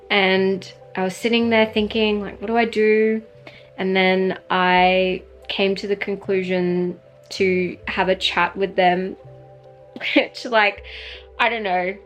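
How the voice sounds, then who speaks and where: A young woman from Singapore with a strong Australian accent, narrating her vlog in a relaxed, chatty way full of "like". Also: medium pitch. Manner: relaxed, chatty, many "like" fillers. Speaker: vlogger. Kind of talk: casual voice-over narration.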